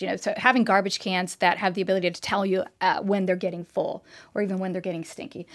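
A woman explaining technology as an interview guest, animated and talkative with frequent fillers and restarts. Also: medium pitch. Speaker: tech expert guest. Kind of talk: casual interview chat. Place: trade show floor.

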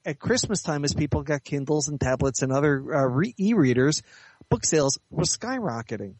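A man giving measured, articulate, explanatory answers as an author and editor being interviewed in conversation. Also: fairly high pitch, lively intonation, a little mellow, no room sound.

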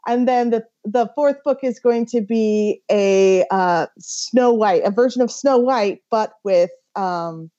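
Woman, author guest, casual and animated, mellow tone, slightly dull recording. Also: on the high side. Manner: giggly, animated storytelling with frequent "like". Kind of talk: casual podcast chat.

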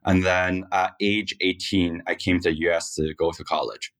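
A man in his thirties from Taiwan, a startup founder giving measured answers in an interview. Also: sits low, fairly even intonation, a bit bright.

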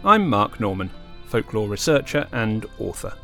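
A man hosting a podcast, reading a measured, earnest scripted introduction. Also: lowish voice, very animated, sharp tone, faint music behind. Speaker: podcast host.

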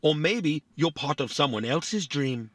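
An actor playing a small, vain, philosophical robot in a family audio drama, boastful and politely fussy. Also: male voice, a little high, animated, unhurried, a bit bright, dry recording. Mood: vain, musing.

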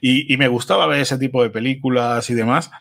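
A man's voice: mid-range voice, fairly even intonation, brisk, creaky, a bit bright. Chatty man from Spain. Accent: Spanish from Spain. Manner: chatty, rambling, frequent tag questions. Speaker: podcast guest, film festival press and radio film show maker. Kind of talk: casual conversational interview.

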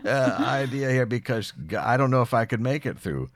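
A man's voice: mid-range voice, fairly quick, dry recording. A man from near Philadelphia interviewing a guest, thoughtful and hesitant with many uhs, laughing readily. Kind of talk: casual interview conversation.

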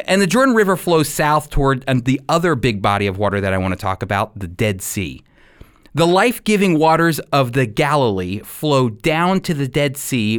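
A man giving a radio devotional as a Bible teacher, with emphatic, deliberate phrasing. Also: medium pitch.

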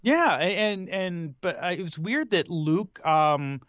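A man with a slightly high voice talks casually about films as a co-host, often halting to search for his words. His humor is dry and tongue-in-cheek. The sound is close and dry but somewhat dull and muffled. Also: animated, a bit bright, no echo. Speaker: podcast co-host. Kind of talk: casual film discussion.

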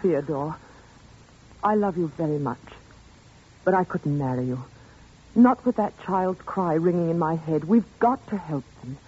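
Casting: tender, troubled heroine, very husky, animated delivery, vintage radio suspense, tinny phone-like recording. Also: female voice, sits low, measured pace, pauses often, exaggerated voice. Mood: earnest, resolute. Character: earnest, tender, troubled woman pleading for children. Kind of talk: 1940s radio suspense acting. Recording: tinny, band-limited 1940s radio recording.

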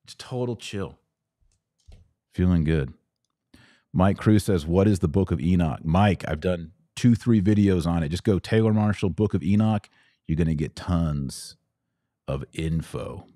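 A man's voice: low-pitched, a little slow, dark tone, no echo, studio quality. An American man hosting a casual livestream, chatting informally with playful slang as he answers viewers. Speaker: podcast host.

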